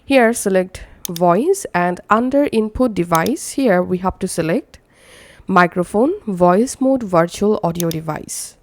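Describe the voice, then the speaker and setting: A woman presenting a step-by-step software tutorial as a voice-over. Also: fairly low pitch, animated, unhurried. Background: screen-recording voice-over.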